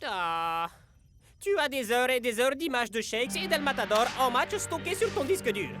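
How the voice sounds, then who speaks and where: A woman's voice: sits high, lively intonation, moderate pace, music in the background. A woman voicing a vain, impatient, scheming tech mastermind in a cartoon, with a smug, theatrical delivery. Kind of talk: animated sports series dub.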